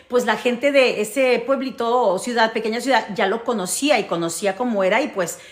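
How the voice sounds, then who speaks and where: A woman with a fairly high voice and a Mexican Spanish accent shares a personal story as a casual vlog. She is emphatic, repeating key phrases and checking that listeners follow. The room around her is noticeably echoey. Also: a bit bright. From United States, grew up in New Jersey. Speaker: storytelling vlogger.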